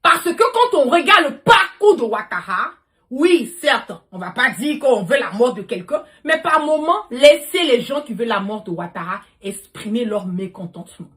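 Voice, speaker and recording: High-voiced lady going off on a political live, sharp tone, repeats herself to hammer it in. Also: very animated, moderate pace. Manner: emphatic, repetitive, confrontational. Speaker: livestream host. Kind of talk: impassioned political rant to followers.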